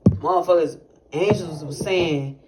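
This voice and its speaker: A man's voice: fairly high pitch, a little slow. Rambling young man telling a wild story. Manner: rambling, animated, profane, many fillers. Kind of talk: casual storytelling testimony. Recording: noisy recording with clicks.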